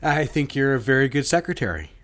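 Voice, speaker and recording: An actor playing a controlling, seductive married boss in a romantic audio drama, speaking intimately and with command. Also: male voice, mid-range voice, very animated, fast talker. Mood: teasing, courteous. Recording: loud music over the voice.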